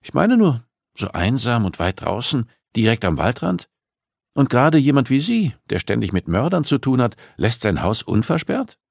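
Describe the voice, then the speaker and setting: A man voicing a female police psychologist who is polite, persistent and gently probing. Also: mid-range voice, sing-song, moderate pace, vocal fry, no room sound, clean studio sound, pitched above the performer's own voice. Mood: polite, curious.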